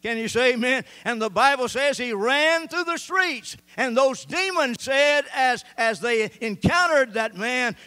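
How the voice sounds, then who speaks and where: A man's voice: very high voice, lively intonation, unhurried, few pauses, sharp tone, smooth. An older man preaching a sermon with a handheld mic on a church stage, reading scripture and gesturing as he talks. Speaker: pastor. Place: church stage with band gear, indoors.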